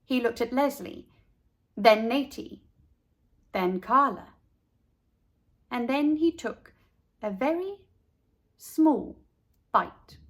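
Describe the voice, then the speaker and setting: A woman narrating a children's storybook expressively, with deliberate, dramatic pauses. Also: on the high side, lively intonation. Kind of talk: expressive children's read-aloud.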